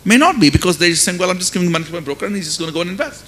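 A man's voice: animated, very fast, a bit bright. A middle-aged man with an Indian accent speaks emphatically as a panelist, posing rhetorical questions into a handheld mic on a conference stage. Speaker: panelist, finance academic. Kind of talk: panel discussion remarks. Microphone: handheld microphone. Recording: faint noise and low rumble.

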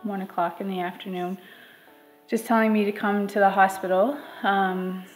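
A woman in her thirties telling a personal story in a quiet, measured way, in a living room. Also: medium pitch, flat delivery, soft background music, low-quality recording. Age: 30s. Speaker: grieving sister. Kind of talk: personal testimony.

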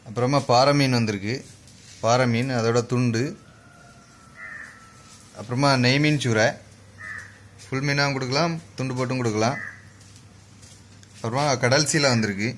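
A man with a Kanyakumari Tamil accent, a fish shop owner running through the day's catch in a brisk, repetitive vendor's listing, filmed on a handheld phone at an indoor market stall. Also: medium pitch, slight background noise. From Kanyakumari district, South India. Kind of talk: spoken product rundown for a shop channel.

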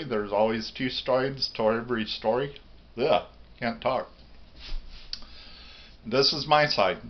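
A man's voice: medium pitch, dull audio. A middle-aged American man giving an earnest, plain-spoken, rambling video monologue on a phone held at arm's length in a kitchen. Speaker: vlogger. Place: home kitchen, indoors.

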